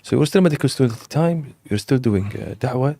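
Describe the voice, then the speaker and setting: A man's voice: mid-range voice, expressive, fast talker, creaky, mellow, no room sound. A man hosting a podcast interview, asking follow-up questions and chiming in with short encouragements. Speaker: podcast host. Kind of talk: interviewing, casual.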